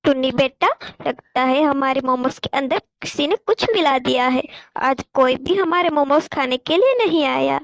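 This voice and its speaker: A woman's voice: high voice, moderate pace, gravelly, no room sound, rough recording. A woman voicing an earnest, motherly little bird in a cartoon. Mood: worried. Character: hardworking, gentle mother sparrow, worried and earnest.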